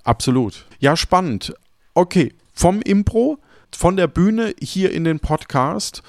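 A man hosting a casual podcast chat, speaking haltingly and repeating words as he searches for phrasing. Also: sits high, expressive, vocal fry, no echo, clean studio sound. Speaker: podcast host.

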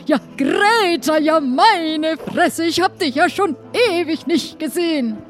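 A woman playing an excitable, flustered female pirate crew member in an improvised audio play, talking theatrically with halting exclamations. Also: extremely high pitch. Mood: excited, chatty. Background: background music.

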